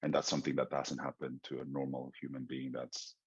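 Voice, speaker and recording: A man from Argentina moderating a panel conversation, asking questions and reflecting out loud. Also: a bass voice, level tone, fairly quick, slightly rough, no echo, muffled recording.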